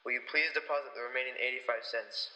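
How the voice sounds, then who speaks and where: A man's voice: mid-range voice, fairly even intonation, fast, natural voice. Young man as impersonal telephone operator, heavily reverberant. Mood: procedural. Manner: procedural, impersonal. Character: brisk, impersonal male long-distance telephone operator. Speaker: student actor. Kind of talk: radio play acting. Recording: dull, noisy, long reverb, clicks.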